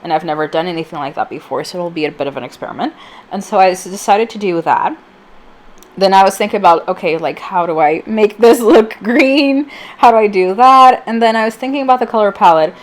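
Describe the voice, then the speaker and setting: A woman with a mid-pitched voice that sounds a little off from allergies. She narrates a craft vlog in an informal, chatty way, wandering through her thoughts with plenty of fillers and gentle self-deprecation. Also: brisk. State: slightly off voice from allergies. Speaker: vlogger, channel host. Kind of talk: casual chatty voice-over for a craft video.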